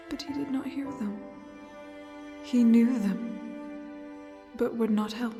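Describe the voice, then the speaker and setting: A woman narrating a fairy tale in a solemn, dramatic storybook style, with deliberate pauses between short phrases. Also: on the high side, mellow, long reverb tail.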